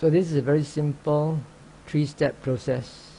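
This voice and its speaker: A man teaching guided meditation, speaking calmly and unhurriedly in short phrases with long pauses. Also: a little high, vocal fry, a little hiss or hum, low-quality recording. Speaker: meditation teacher, Buddhist monk.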